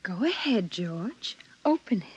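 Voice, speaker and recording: An actress playing an anxious, frightened wife in a 1950s radio drama, emotional and pleading. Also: female voice, mid-range voice, sing-song, a little slow, lo-fi sound. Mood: uneasy. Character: anxious, frightened wife in a futuristic automated house. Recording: vintage 1950s radio recording.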